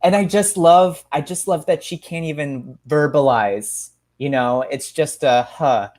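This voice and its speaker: A man's voice: a little high, measured pace, a little mellow. A man in his thirties co-hosting a podcast, chatting casually and enthusiastically over a headset on a video call. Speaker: podcast co-host. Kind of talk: casual chat.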